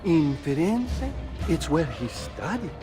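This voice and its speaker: An actor playing a timid, frightened monk who talks in a pleading, fretful way. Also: male voice, fairly high pitch, very animated, slow pace, music in the background. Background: orchestral score.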